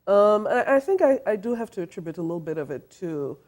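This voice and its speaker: A middle-aged woman from Trinidad and Tobago, a fashion designer, answering interview questions in a soft, thoughtful way with many pauses and fillers. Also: mid-range voice, very animated. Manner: soft-spoken, thoughtful, many ums and uhs. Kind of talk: interview guest.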